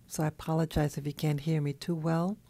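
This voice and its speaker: A woman who has just woken up, talking in a soft, hoarse, half-whispered voice from her bed, slow and halting, with a clip-on mic. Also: deep voice, little pitch movement. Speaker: channel host. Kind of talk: early morning monologue. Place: in bed at night.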